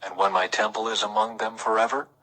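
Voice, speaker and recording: A man's voice: on the low side, flat delivery, fast, a crowd in the background, poor audio. A man reads scripture aloud in a solemn, emphatic, dramatic scripted style. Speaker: scripture reader.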